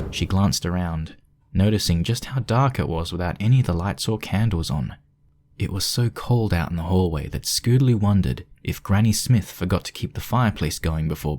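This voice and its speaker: A man's voice: low voice, a little mellow. A man reading scripted story narration as the narrator.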